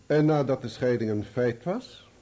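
An actor in a Dutch radio play, playing a formal courtroom examiner who questions a witness deliberately. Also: male voice, mid-range voice, animated, warm tone, natural voice. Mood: probing.

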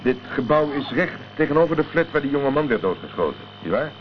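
A man's voice: mid-range voice, big pitch swings, brisk, lightly acted. A man playing a polite, probing private detective in a Dutch radio drama. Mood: businesslike, polite. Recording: dull, noisy old radio recording.

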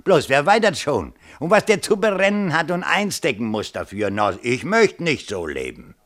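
An actor playing a dry, dismissive and world-weary gatekeeper in a radio drama, speaking colloquially. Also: male voice, a little high, very animated, normal speed, fairly bright tone. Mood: cynical, knowing. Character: world-weary, cynical male gatekeeper, dryly discouraging. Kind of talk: 1970s German radio drama acting.

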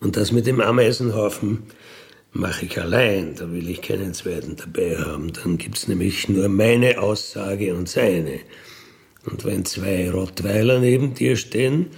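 A man with a gravelly voice, recounting in a blunt, matter-of-fact, colloquial way with emphatic tag questions. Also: low-pitched, measured pace, darkish tone. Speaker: ex-convict telling his experiences. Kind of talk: colloquial spoken monologue, recounting.

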